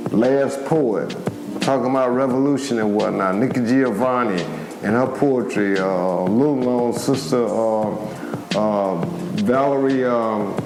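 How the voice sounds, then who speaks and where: Older American man, halting, in a roomy echo. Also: on the low side. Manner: halting, repetitive, searching for words. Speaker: former activist giving a firsthand account. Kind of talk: spoken documentary interview, reflective recollection.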